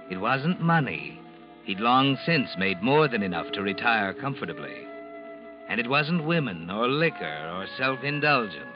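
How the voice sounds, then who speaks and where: An actor playing a sinister, all-knowing narrator in a theatrical 1940s radio mystery style. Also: male voice, fairly low pitch. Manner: ominous, theatrical. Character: the Whistler, sinister all-knowing male narrator of dark tales. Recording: dull old radio recording.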